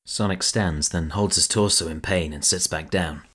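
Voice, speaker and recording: A man's voice: low voice, normal speed, fairly bright tone, husky. A man narrating a fan audio drama in a plain, matter-of-fact way. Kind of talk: scripted narration.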